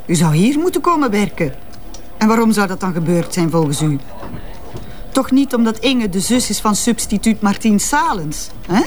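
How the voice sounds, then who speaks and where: Cool, coy woman journalist played by an actress for radio drama; articulate, pointed, with wide-ranging pitch. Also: very animated, normal speed, a little hiss or hum, lightly acted. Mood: coy, challenging. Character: cool, condescending female interim newspaper editor, knowing and coy. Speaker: actress in a detective audio drama. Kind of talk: naturalistic radio drama dialogue.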